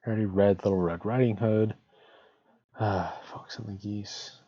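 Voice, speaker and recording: A man with a relaxed, dark voice reads and chats like a storytelling streamer. The sound is dull and somewhat echoey. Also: lowish voice, normal speed, slightly rough. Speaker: streamer reading fiction. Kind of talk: storybook narration and casual stream chat. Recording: dull lo-fi audio, roomy echo.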